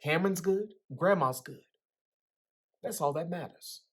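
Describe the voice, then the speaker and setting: A woman's voice: lowish voice, a little slow, breathy edge. A woman playing a cocky, teasing young man with slangy, swaggering speech in a dramatic reading of a novel. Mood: bitter, resigned.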